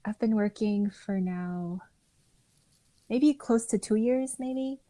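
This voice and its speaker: A woman's voice: mid-range voice, takes it slowly, mellow. A young woman from the United States who works as a pharmacist, talking casually with many "like"s. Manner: chatty, lots of "like". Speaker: retail pharmacist. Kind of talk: casual interview.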